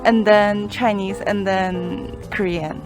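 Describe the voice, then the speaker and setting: A young woman answering interview questions in slow, halting phrases. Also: medium pitch, steady tone, over music.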